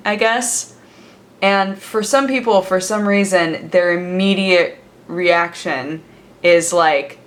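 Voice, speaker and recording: A woman's voice: medium pitch, fairly bright tone. A young woman from the United States chatting casually to camera in a daily vlog, rambling with lots of fillers and laughs. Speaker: vlogger. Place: home, sitting with coffee.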